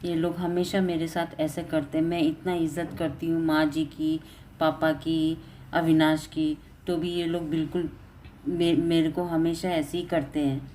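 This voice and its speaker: A woman's voice: low voice, flat delivery, measured pace, dark tone. Lady doing a young daughter in law, meek n hurt, pleading polite, some noise behind. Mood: hurt, self-pitying. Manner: pleading, apologetic, respectful. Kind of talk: dramatised storytelling.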